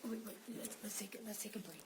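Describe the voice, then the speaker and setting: A woman quietly soothing someone in whispered reassurance. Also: mid-range voice, quick pace, background noise.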